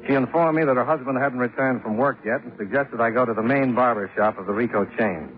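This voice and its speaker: Male actor for level-headed investigator, old radio crime drama. Matter-of-fact, clipped delivery, middle pitch, narrow-band vintage sound. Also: fast, close to an everyday voice. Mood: dry narrating. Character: brisk, level-headed male private insurance investigator narrating his case. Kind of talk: 1950s radio detective drama acting and narration. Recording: narrow-band 1950s radio recording, music behind.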